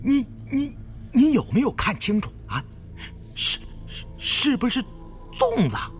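A man voicing a jumpy, gruff tomb raider with a heavy stutter in an audiobook. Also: very high-pitched, wildly swooping pitch, unhurried, fairly bright tone, faint music behind, voice pushed up, full character voice. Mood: anxious.

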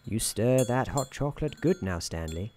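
A man playing a soft-spoken, whimsical, gently patronising narrator in an ASMR roleplay, speaking in a measured, soothing way. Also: lowish voice, lively intonation, close to an everyday voice. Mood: gently teasing. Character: droll, soothing male storybook narrator in an office worker's head.

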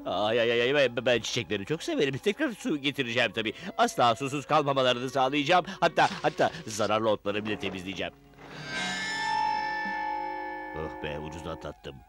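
A scheming cartoon wizard, acted by a man, grumpy and theatrical. He sputters and whines in a middling-pitched voice, faking niceness through his irritation. Music plays clearly behind him. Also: lively intonation, quick pace, hardly any pauses, lightly acted. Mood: falsely sweet. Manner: theatrical, whining, sputtering with false sweetness. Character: grumpy, scheming male wizard shrunk small, feigning niceness. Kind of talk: cartoon dub acting.

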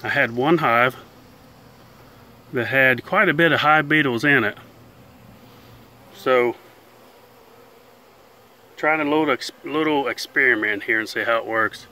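A man's voice: mid-range voice, sharp tone, poor audio. Folksy man filming his chicken pen. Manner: plain-spoken, unhurried, folksy grammar. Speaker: beekeeper and channel host. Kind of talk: casual narration while filming. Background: faint buzzing of bees outdoors.

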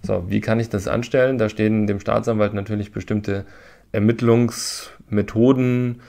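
A man's voice: lowish voice, steady tone, normal speed, gravelly, mellow. A man giving methodical legal explanations in long sentences as an interview guest. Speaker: lawyer, expert guest.

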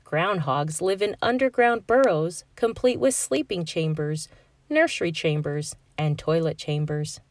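A woman reads a children's storybook aloud as narrator. Her pace is slow and measured, and the recording is dry with little room sound. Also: low-pitched, lively intonation, darkish tone, no echo. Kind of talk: scripted picture-book narration.

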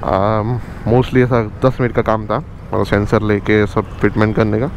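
A man's voice: fairly low pitch, flat delivery, measured pace. A young man with an Indian accent chatting casually while riding his motorcycle through the city, often slipping in English phrases. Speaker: motovlogger. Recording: lo-fi with loud traffic noise.

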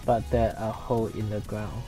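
A tired young man from Hong Kong, a native Cantonese speaker with a Hong Kong English accent, rambling in halting, casual gameplay commentary. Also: on the low side, level tone, over music. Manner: rambling, halting, with frequent restarts. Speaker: let's play gamer.

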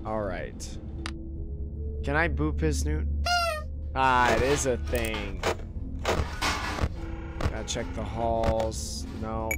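A man with a slightly hoarse voice gives casual, unhurried commentary on a game, often trailing off into ums. His pitch is ordinary for a man. Loud game music runs under him. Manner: mumbling to himself, many ums. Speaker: game streamer.

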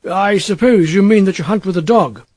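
A man voicing a fussy, self-important English gentleman, indignant, emphatic and easily flustered, in an audiobook. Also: high voice, a little fast, no echo, clean studio sound, pitched above the performer's own voice. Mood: puzzled, sceptical. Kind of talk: audiobook character voice.

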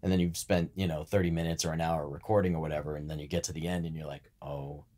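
Male podcast co-host, deep register, conversational and instructional delivery. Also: level tone, fast talker, warm tone. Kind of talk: explanatory podcast talk.

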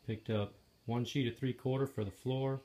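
A man casually narrating, step by step, the assembly of a trailer he is building, talking in a plain, matter-of-fact way with some halting pauses, filmed on a handheld phone in a garage. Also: mid-range voice, steady tone, warm tone. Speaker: DIY video maker. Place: garage or shop and outdoors by a trailer. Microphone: handheld phone camera.

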